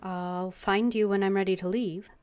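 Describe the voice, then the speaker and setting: A woman's voice: mid-range voice, fairly even intonation, normal speed, darkish tone, faint music behind. A woman streaming a video game, playing her character with dry, wry remarks. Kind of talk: casual gameplay commentary.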